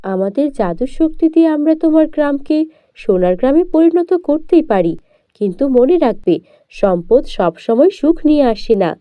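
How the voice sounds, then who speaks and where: A woman voicing a benevolent, wise magical fairy who gently cautions, in a children's cartoon fairy tale. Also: sits high, big pitch swings, normal speed, no echo, dull audio, pitched above the performer's own voice. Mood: gently cautioning.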